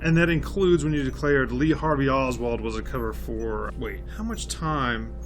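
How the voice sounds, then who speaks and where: An actor playing a bossy, sarcastic investigator in a comedic audio drama, theatrical and exasperated. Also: male voice, mid-range voice, normal speed, close to an everyday voice. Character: blustering, sarcastic male investigator leading an oddball agency. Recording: music behind the dialogue.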